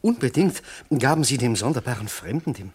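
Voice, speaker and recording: A small-town apothecary in a literary radio drama. His voice is husky and of medium pitch, and he questions with open disbelief. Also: sing-song, a little fast, darkish tone. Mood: reproachful. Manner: incredulous, questioning. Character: doubting, plain-spoken small-town apothecary questioning the flatterer. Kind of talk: theatrical radio play acting of literary text.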